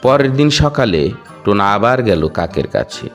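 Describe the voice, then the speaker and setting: A man's voice: on the low side, big pitch swings, normal speed, faint music behind. A man narrating a children's cartoon fable as a scripted storybook tale.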